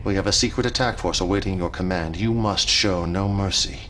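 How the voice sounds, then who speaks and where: An actor playing a middle-aged cult leader and warlord, delivering fervent, grandiose and deliberate oratory in a game cutscene. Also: male voice, deep voice, fairly bright tone, faint music behind. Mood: grim, menacing. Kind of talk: dramatic monologue in a game cutscene.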